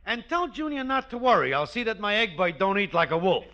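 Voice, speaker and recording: An actor playing a sarcastic, conniving working-class neighbor in a 1940s radio sitcom, talking in slangy broken grammar. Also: male voice, high-pitched, very animated, fairly quick. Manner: sarcastic, ungrammatical. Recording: muffled 1940s radio recording, faint noise.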